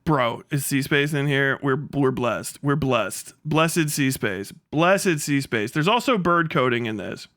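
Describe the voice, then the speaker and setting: Guy streaming, kinda high sharp voice, rambly n stammery, swears a lot, amused, real dry mic. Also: animated, a little slow, hardly any pauses, dry recording. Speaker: live streamer, host of the channel. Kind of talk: casual livestream commentary with reading aloud.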